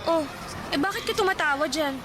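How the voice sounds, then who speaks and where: Actress as a sensible young woman, high voice. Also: a little fast, a crowd in the background, noisy background, lightly acted. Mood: evasive. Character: shy, sensible young woman, fondly exasperated. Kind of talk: Tagalog radio drama acting.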